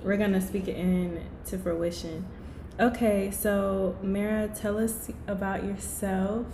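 A woman's voice: mid-range voice, little pitch movement, takes it slowly, warm tone, reverberant, slight background noise. A woman in her twenties hosting a podcast in an upbeat, encouraging, frank way. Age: 20s. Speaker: podcast host.

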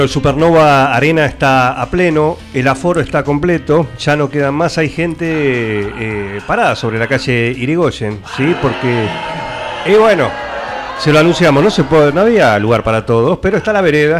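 Argentine guy hosting a comic radio show, casual announcer talk, medium pitch, bit of music under it.

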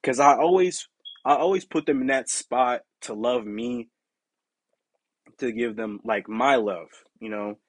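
Raspy young man, dry close sound. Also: a little slow, no echo. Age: young adult. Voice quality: deep and raspy. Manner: candid, rambling, full of "you know" and "like". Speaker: podcast host. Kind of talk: casual solo podcast monologue.

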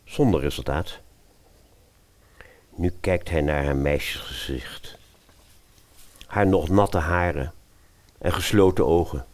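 A man's voice: low-pitched, slow pace, long pauses, darkish tone. A man narrating a radio fairy tale in a dramatic storytelling style.

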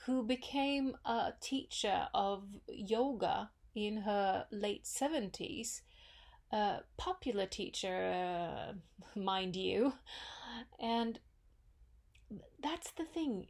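A woman with a Norwegian accent hosting her own podcast, talking in a thoughtful, unhurried way with many pauses and fillers. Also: a little high, darkish tone. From Norway. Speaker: podcast host. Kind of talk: solo reflective podcast talk.

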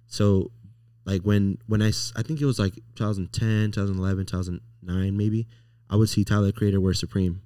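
A young American man with a fairly low voice, chatting casually as a podcast host. His speech is informal and slangy, and the sound is close and dry. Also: level tone, fairly quick, warm tone, smooth, dry recording. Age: young adult. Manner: informal, slangy talk peppered with "bro" and "like". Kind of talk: casual conversation.

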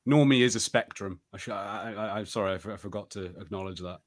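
A man co-hosting a podcast, chatting casually through a review and haltingly thinking aloud as he hedges. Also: lowish voice. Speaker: podcast co-host.

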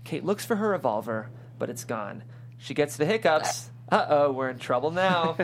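A woman reading screenplay stage directions as lively action narration, punching out the dramatic effect words. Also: low-pitched, moderate pace, slight background noise.

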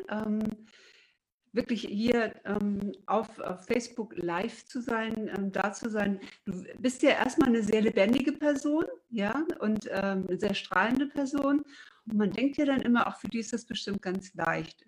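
A woman's voice: a little high, unhurried, poor audio. A woman interviewing a guest in casual chat, asking probing questions with many fillers.

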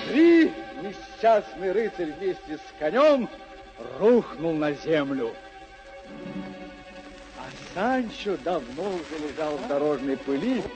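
A man acting as the narrator of a classic radio play, telling the story in a vivid, theatrical manner. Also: high-pitched. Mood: wry, subdued. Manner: animated, theatrical. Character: vivid, theatrical male storyteller addressing gentlemen. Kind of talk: dramatic scripted radio narration. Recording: dull old radio recording with music.

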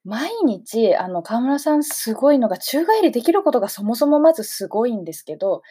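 A woman presents a local radio interview in a mellow, fairly high voice. She is polite and keen, talking appreciatively to her guest in a conversational way. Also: sing-song, a little fast, husky.

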